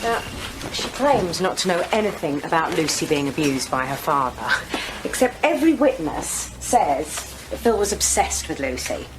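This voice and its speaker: Audio drama lead detective: low, analytical, lively intonation over background noise. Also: female voice, normal speed. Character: senior female detective, businesslike, analytical, in command. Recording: clear background noise, ambience.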